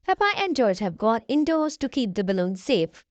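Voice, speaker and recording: A woman's voice: fairly high pitch, sing-song, moderate pace, no echo, lo-fi sound. A woman reading a storybook aloud expressively for young children, speaking to them directly as the host.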